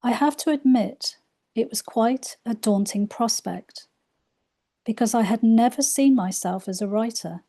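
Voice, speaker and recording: A woman reading aloud from her own spiritual book in a soft, unhurried way, her voice a little croaky. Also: a little high. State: slightly croaky voice. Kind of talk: slow gentle book reading.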